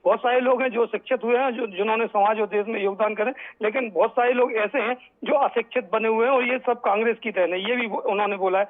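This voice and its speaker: Very high-pitched man on tinny phone line. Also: fairly even intonation. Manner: halting, repetitive, with many self-corrections. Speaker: news correspondent. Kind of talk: live unscripted news report. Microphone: phone call.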